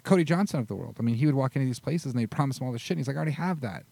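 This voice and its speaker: A man hosting a podcast interview in casual chat, animated and swearing easily. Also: medium pitch, very fast, gravelly, dry recording. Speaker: podcast host.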